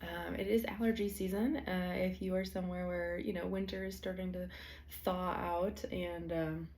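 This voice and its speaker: A young woman hosting a solo podcast, slightly hoarse from allergies, talking in a casual, chatty way with frequent fillers. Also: fairly low pitch, flat delivery, normal speed, a little mellow. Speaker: podcast host. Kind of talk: solo podcast talk on productivity.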